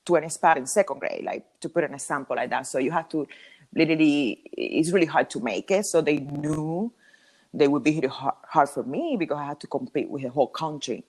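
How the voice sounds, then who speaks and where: A woman in her thirties with a Cuban Spanish accent, a native Spanish speaker, telling her story as a podcast guest with lively ums and laughs. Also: deep voice, fairly quick. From Cuba. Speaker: podcast guest, hair colorist. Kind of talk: casual interview talk.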